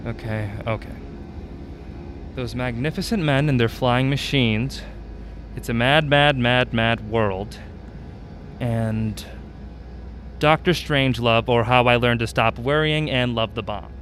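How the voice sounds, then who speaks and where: An actor playing a wry, jittery male traveler in a comic radio drama, excitable and anxious. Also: mid-range voice, takes it slowly, long pauses, a little hiss or hum.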